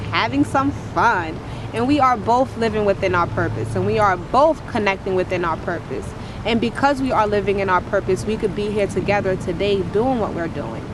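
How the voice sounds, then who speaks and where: A woman's voice: medium pitch, background noise. Earnest woman with a strong voice outdoors. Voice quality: strong, powerful voice. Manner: earnest, preachy, repetitive uplifting cadence. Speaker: motivational speaker and poet. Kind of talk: inspirational testimony to camera.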